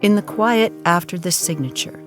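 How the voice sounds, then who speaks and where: A woman narrating an audio drama in a solemn, measured storytelling manner. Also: lowish voice, over music.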